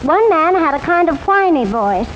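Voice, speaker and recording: An actress playing a flustered, hard-of-hearing witness, folksy, hesitant and puzzled, in old-time radio style. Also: female voice, very high voice, very animated, moderate pace. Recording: dull, noisy old radio recording.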